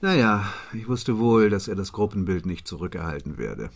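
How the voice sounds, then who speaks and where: An actor playing a shrewd, confident gentleman detective in a German radio play, with a brisk, superior, lecturing manner. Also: low voice, sing-song, no echo. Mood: smug, impatient. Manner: self-assured, superior, didactic.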